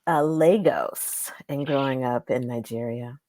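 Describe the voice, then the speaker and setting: A woman's voice: rumbling low, a little slow, dark tone. A woman speaking as a podcast host, conversationally leading an interview. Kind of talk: warm-up interview questions, conversational.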